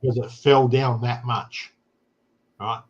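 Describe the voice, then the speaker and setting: A man's voice: mid-range voice, flat delivery, slow, lo-fi sound. An Australian man hosting a casual talk show, explaining things plainly and often checking in with "right?". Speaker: show host. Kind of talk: casual chat.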